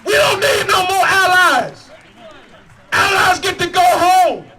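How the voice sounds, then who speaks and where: A man running for office, giving a fiery shouted protest speech at a rally with a repetitive, preacher-like cadence. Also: extremely high pitch, animated, slow pace, a faint crowd, a little hiss or hum. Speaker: political candidate at a rally.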